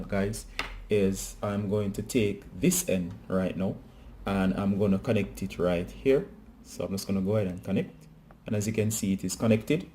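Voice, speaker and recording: A man's voice: fairly low pitch, dark tone, a little hiss or hum. A man presenting a casual hands-on how-to tutorial, talking directly to viewers.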